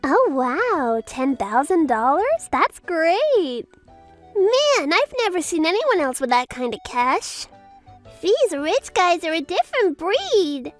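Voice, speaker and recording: A woman's voice: very high voice, very animated, slow pace, faint music behind, exaggerated voice. A woman playing a bubbly, money-obsessed young schoolteacher with a gushing manner in a manga dub. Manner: gushing, peppers talk with French phrases.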